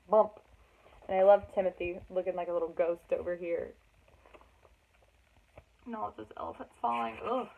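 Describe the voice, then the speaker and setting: A woman reading a children's story aloud expressively, with dramatic emphasis for young listeners. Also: mid-range voice. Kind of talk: expressive read-aloud narration with chatty asides. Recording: thin, phone-like band-limited sound.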